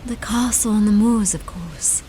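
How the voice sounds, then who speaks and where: A woman plays a dying young woman in a radio drama, feverish and wistful. Her voice is breathy and whispery, slow and dreamy, over noisy ambience. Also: mid-range voice, dark tone, close to an everyday voice. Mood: feverish longing.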